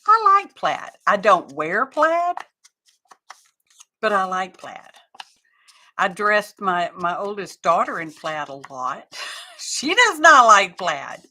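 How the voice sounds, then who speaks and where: Middle-aged woman, unhurried chatty craft host, bright edge to the voice. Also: mid-range voice, very animated. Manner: chatty, rambling, talks to chat viewers. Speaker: craft live-stream host. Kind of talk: casual chat while crafting on a live stream.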